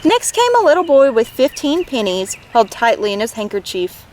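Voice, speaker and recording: A woman reading a storybook aloud to children in an expressive, gentle way, as a read-aloud host. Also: on the high side, normal speed, slight background noise.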